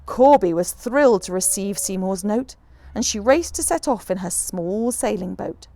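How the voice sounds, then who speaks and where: A woman reading a rhyming children's picture book aloud in an expressive, rhythmic storyteller's manner. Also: mid-range voice, normal speed, vocal fry. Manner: animated, rhythmic rhyming delivery.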